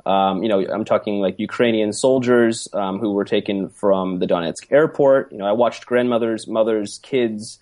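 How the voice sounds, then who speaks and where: Male interview guest, lowish voice, halting and reflective. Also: a little mellow. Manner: hesitant, many ums and restarts. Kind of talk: conversational interview.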